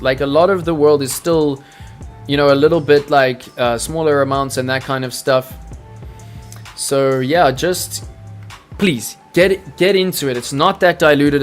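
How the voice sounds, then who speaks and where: A man hosts a live crypto trading stream. He sounds hyped and salesy, and he keeps calling out to his chat. His voice sits a bit high, with soft music underneath. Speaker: crypto trading livestream host.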